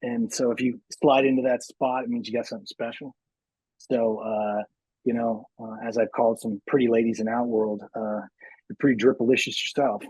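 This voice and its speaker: A man's voice: medium pitch, level tone, fairly quick, darkish tone, breathy edge, no room sound, close to an everyday voice, low-quality recording. A man voicing a cocky, self-important action movie star from a video game, talking in a smug, soothing, condescending way.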